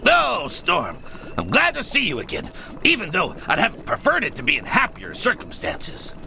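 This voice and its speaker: An old, kindly leader of tiny cartoon folk in a game. The voice is high and very bright, swinging widely in pitch. He stays reassuring and in command. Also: huge pitch swings, moderate pace, vocal fry, slight background noise, full character voice, poor audio. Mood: relieved, resolute. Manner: reassuring, authoritative. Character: elderly male Smurf village leader, kindly and calm. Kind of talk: video game cutscene acting.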